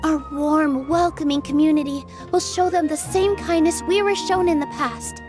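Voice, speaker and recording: A woman playing a gentle, earnest young female performer in a video game dub. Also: sits high, fairly even intonation, normal speed, music in the background, lightly acted. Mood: heartfelt.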